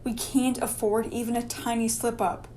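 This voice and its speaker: Actress as an anxious, higher-pitched woman scientist. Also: flat delivery, normal speed, mellow, a little hiss or hum, natural voice. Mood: earnest, cautioning. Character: anxious, careful woman scientist in an infested lab. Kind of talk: amateur horror radio drama acting.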